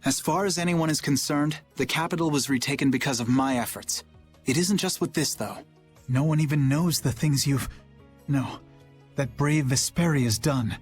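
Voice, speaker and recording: An actor playing an earnest, dutiful young knight in a video game, speaking politely and seriously. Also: male voice, fairly high pitch, normal speed, soft background music, close to an everyday voice.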